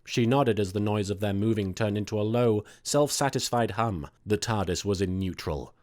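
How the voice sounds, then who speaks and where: A man's voice: on the low side, normal speed, a little mellow. A man hosting and narrating a scripted comic story, with some casual introductory talk. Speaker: host and fan fiction reader.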